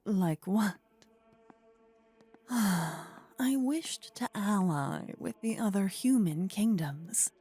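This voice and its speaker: A woman playing a possessive, warlike demon queen in an intimate ASMR roleplay, speaking in a breathy whisper with measured, formal, regal phrasing. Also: fairly low pitch, dark tone. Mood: frustrated, scheming.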